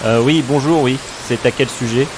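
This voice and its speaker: A man's voice: medium pitch, moderate pace, noisy background, natural voice. A man playing a grumbling, nostalgic office administrator in an amateur audio drama, talking with exasperated sighs. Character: grumbling middle-aged male office administrator.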